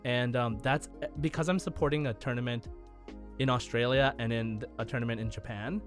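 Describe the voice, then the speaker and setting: A man's voice: medium pitch, steady tone, normal speed. A man co-hosting a gaming podcast, chatting casually in a rambling way with music behind him. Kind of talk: casual chat.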